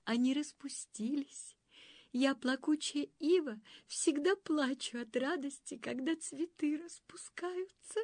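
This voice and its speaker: A woman's voice: high voice, unhurried, few pauses, no echo, pitched above the performer's own voice. A woman doing a weeping willow spirit. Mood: gentle. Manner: soft, sobbing, tearful. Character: tearful female willow-tree spirit, gentle.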